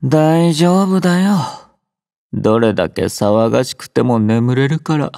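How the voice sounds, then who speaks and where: An actor playing a laid-back young male army doctor in a game, speaking in a relaxed, drowsy, gently teasing way. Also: mid-range voice, measured pace, gravelly, no room sound, studio quality. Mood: easygoing teasing. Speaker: game character voice lines. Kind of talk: game voice collection. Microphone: close studio mic.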